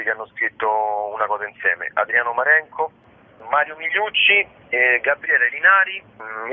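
A man's voice: medium pitch, dry recording. A man giving an informal interview as a guest, explaining things hesitantly as he searches for words, over a telephone. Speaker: guest, curator of a digital theatre book series. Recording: phone-line sound on radio.

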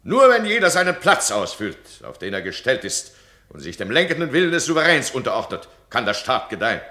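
An actor playing a domineering duke who scolds his subject in a radio play, his voice swinging between cold and loud. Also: medium pitch, big pitch swings, fairly quick. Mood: imperious. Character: domineering stern duke, absolute ruler. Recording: roomy radio drama studio.

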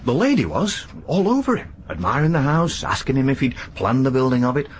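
A loyal manservant, deferential and homely in his speech, saying "sir" often, as an actor plays him. His voice moves lively up and down, and faint hiss runs under the old radio recording. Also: medium pitch, brisk, natural voice. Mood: respectful. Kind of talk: radio drama acting. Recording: archive radio drama with light hiss.

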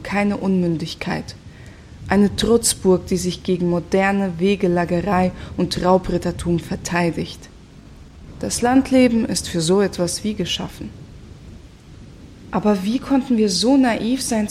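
A woman's voice: fairly low pitch, a little mellow, a little hiss or hum, natural voice. A woman playing an embittered former member of a self-sufficient rural commune in a reflective radio drama monologue. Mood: wistful, bitter.

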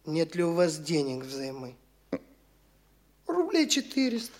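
A man's voice: on the high side, wildly swooping pitch, measured pace, a little mellow, heavily acted. An actor playing a young male impostor in a classic radio play, breezy and condescending, casually cadging money. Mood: airy, cadging. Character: young male petty-official impostor, flighty and boastful. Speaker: lead actor in an audio play. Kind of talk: classic radio theatre acting.

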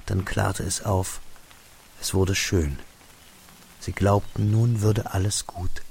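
An actor playing the narrator of a literary radio drama, telling the story of a diary in a sombre, measured way. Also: male voice, deep voice, little pitch movement, vocal fry, a little mellow, slight background noise, full character voice. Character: sombre male narrator piecing together a friend's diary.

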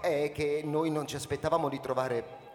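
An actor playing a stern, duty-bound, suspicious rocket captain in a radio drama, theatrical in delivery. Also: male voice, on the high side, fairly even intonation, natural voice. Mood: sceptical. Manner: theatrical, halting when astonished. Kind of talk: live radio drama acting. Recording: reverberant hall, faint music behind.

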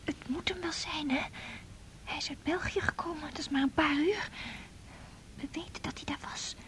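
Casting: scared young woman, breathy whisper, extremely high, anxious and pleading, for radio drama. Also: fairly even intonation, moderate pace, a bit bright. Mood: anxious, timid. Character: frightened, pleading young female night-time waker.

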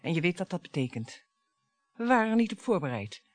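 A woman's voice: lowish voice, sing-song, fairly quick, no echo, faint music behind. An actress in a radio play playing a bitter, taunting middle-aged wife and mother, sarcastic and dramatic. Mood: combative. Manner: sarcastic, dramatic, swinging between hushed and biting.

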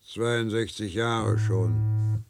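An actor playing a dutiful, stubborn 95-year-old worker in a radio play, husky and gravelly, prone to stammering. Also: male voice, lowish voice, fairly even intonation, very slow, background noise, full character voice. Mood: calm, proud. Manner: stammers and repeats words when agitated.

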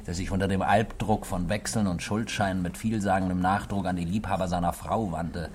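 An actor in a 1960s German radio play, playing a tipsy, world-weary drinking companion who muses theatrically and swings from mutters to loud calls. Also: male voice, sits low, fairly even intonation, fairly quick, lightly acted. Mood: bitter, melancholy. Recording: noisy old 1960s radio recording.

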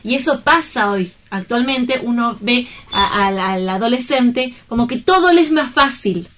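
A woman's voice: a little high, lively intonation, normal speed, a bit bright. A woman giving her view as a panelist in a casual round-table discussion.

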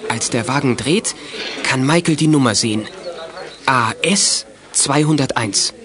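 A man's voice: mid-range voice, measured pace, a crowd in the background, close to an everyday voice. A man narrating a youth radio play in a scripted storytelling style.

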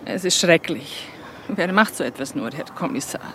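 A woman's voice: sits low, animated, moderate pace, fairly bright tone. A woman acting a polite, troubled snack-bar worker in a German radio drama. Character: worried snack-bar woman, wife of a suspect. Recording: clear background noise, ambient sounds.

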